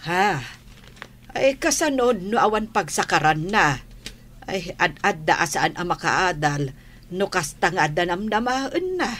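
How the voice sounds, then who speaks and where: Gentle worried mom played by a woman, talks real slow, bit of noise behind, radio drama. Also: on the low side, big pitch swings, exaggerated voice. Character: worried, gentle mother of a struggling schoolboy.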